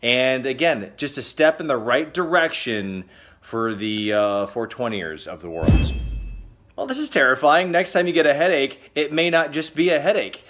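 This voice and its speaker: A man hosting a casual comedic news roundup, chatty and joking, with sudden loud exclamations. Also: mid-range voice, expressive, normal speed, slight background noise. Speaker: host.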